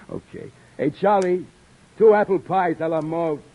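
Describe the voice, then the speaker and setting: An actor playing a weary, wry beat cop in a 1950s radio drama, talking in dry streetwise wisecracks. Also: male voice, a little high, unhurried, lo-fi sound. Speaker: police officer narrating.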